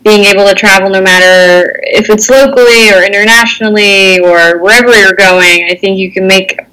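Woman, podcast guest, bright and clear voice, friendly measured delivery. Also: medium pitch, steady tone, rough recording. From Netherlands. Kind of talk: conversational interview answers.

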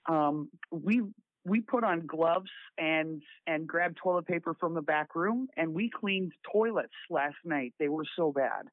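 Raspy man on a tinny phone line. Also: fairly high pitch, dry recording. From South Dakota. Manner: halting, with many restarts. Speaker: guest volunteer. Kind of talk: casual phone interview.

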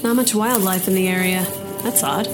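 A woman's voice: medium pitch, steady tone, normal speed, echoey room, lightly acted. A woman playing a wry, plucky lone scavenger in a science fiction audio drama, muttering to herself. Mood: curious. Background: music.

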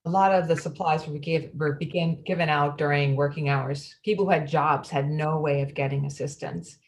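A woman's voice: deep voice, steady tone, moderate pace, a little hiss or hum. An actor playing a frustrated female neighborhood resident in a readers theatre monologue, plainspoken and aggrieved.

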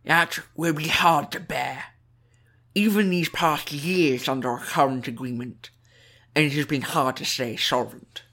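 A wily goblin banker from a fantasy audiobook, voiced by a man. He plays meek and agreeable, putting his questions in a halting, deferential way. The manner is servile and wheedling. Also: on the high side, sharp tone, full character voice. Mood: heavy, resigned. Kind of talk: audiobook character dialogue.

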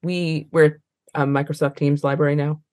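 A woman's voice: low-pitched, level tone, moderate pace, a little mellow, dry recording, clean studio sound. An American woman talking casually as an interview guest, rambling and often trailing off mid-thought. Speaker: interview guest, librarian and trainer.

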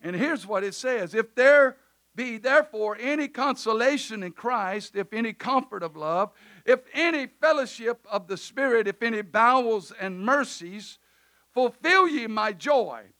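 A man preaching a sermon in a church service, loud at times and then dropping to quiet asides. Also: very high-pitched, expressive, measured pace. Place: church sanctuary.

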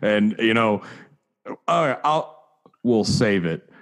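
A man's voice: lowish voice, animated, takes it slowly. A man co-hosting a casual podcast, blunt and profane, laughing often. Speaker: podcast co-host. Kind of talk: casual chat.

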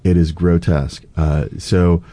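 A man's voice: deep voice, flat delivery, unhurried, mellow, dry recording. A middle-aged man from the United States, a political candidate speaking earnestly in a conversational interview, with many fillers and restarts.

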